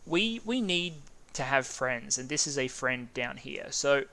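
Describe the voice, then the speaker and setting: A man's voice: mid-range voice, animated, unhurried, vocal fry, pushed and bright. A man with an Australian accent casually talking through a strategy game, thinking aloud and stumbling over names. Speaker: gaming video host.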